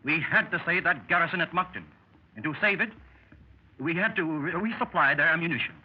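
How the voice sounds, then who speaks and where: A seasoned American adventurer spinning tales of his exploits in old radio drama style. His male voice is fairly high and very animated, full of matter-of-fact bravado. The vintage recording is muffled and a little noisy. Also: fairly quick, breathy edge. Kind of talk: 1930s radio drama acting.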